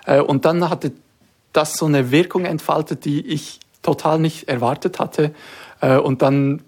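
A man's voice: a little high, fairly even intonation, measured pace, darkish tone. A young man from Switzerland, a student guest answering thoughtfully in a radio interview, with some fillers. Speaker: guest, discipleship school student.

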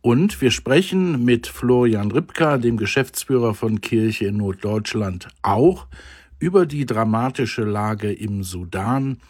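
A man hosting a German religious radio program, measured, formal and courteous. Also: medium pitch, lively intonation, a little mellow, slightly rough. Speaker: radio host. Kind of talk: radio interview and presenting.

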